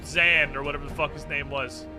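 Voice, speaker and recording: A man's voice: a little high, steady tone, a little fast. A man doing casual gaming commentary in a sarcastic, mock-dramatic and profane way. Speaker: game streamer. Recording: music playing behind. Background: game music.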